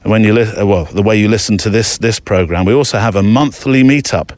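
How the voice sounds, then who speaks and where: A man's voice: lowish voice, fairly quick, a little mellow. A man hosting a podcast, speaking casually in a chatty, meandering way with hesitant asides. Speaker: podcast host. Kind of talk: casual spoken show outro.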